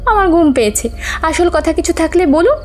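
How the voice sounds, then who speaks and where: An actress playing a pert, stubborn young village wife in an audio horror drama, pouting and challenging. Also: female voice, extremely high pitch, sing-song, fast talker, faint music behind. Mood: sulky, challenging. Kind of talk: Bengali audio horror story acting.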